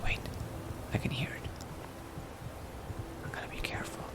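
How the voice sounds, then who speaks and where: A man playing a cautious, brooding newly turned vampire, thinking aloud in hushed, halting phrases in a video game roleplay. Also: whispered, natural voice. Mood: tense, urgent. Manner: hushed, halting self-talk with sighs and pauses. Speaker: roleplay gamer. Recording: noticeable background noise.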